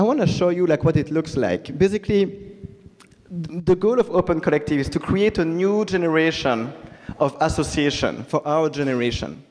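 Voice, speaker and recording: A man's voice: fairly high pitch, a little mellow. A man in his thirties from Belgium with a French-speaking Belgian accent, giving a conversational conference talk into a handheld mic, with many fillers and restarts. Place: large hall with audience. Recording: reverberant hall.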